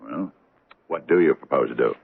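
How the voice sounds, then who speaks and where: An actor playing a grave, guarded older father in a 1970s radio drama, measured and fatherly with slight hesitations. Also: a bass voice, lively intonation, vocal fry, slightly rough, heavily acted. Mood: troubled. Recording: dull lo-fi old radio broadcast.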